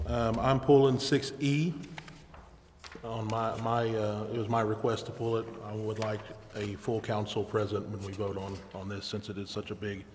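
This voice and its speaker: A man's voice: fairly low pitch, level tone, normal speed, a little mellow. Man as council meeting chair, formal procedural delivery, reverberant hall with light noise. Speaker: presiding officer of a city council meeting. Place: indoor council chamber. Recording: echoey chamber, background noise.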